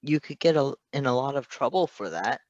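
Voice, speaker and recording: A man voicing a wary fifteen-year-old boy villain in a superhero story, halting and guarded. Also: mid-range voice, animated, no room sound, dull audio, pitched above the performer's own voice, close to an everyday voice. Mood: uncertain.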